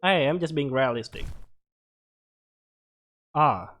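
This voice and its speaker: Slow-paced man commentating over game music. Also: a little high, expressive, a bit bright. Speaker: let's player. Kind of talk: casual game commentary, reading on-screen text aloud. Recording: music playing behind the voice.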